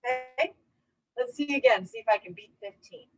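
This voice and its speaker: A woman's voice: fairly high pitch, normal speed, no echo, rough recording. A woman speaking as a children's teacher, reading a storybook aloud and giving simple, encouraging instructions with check-in questions.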